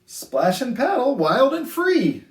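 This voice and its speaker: A man hosting a children's storytime, reading picture books aloud in an expressive, sing-song way with drawn-out phrases. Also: extremely high pitch. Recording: roomy, echoey indoor sound.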